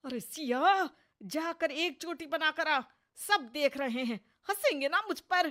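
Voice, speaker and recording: A woman's voice: high-pitched, expressive, slow, fairly bright tone, pitched above the performer's own voice, heavily acted. A woman voicing a scolding, sarcastic mother-in-law in her sixties for an acted moral story. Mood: exasperated. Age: 60s. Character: scolding, nagging sixty-year-old Indian mother-in-law.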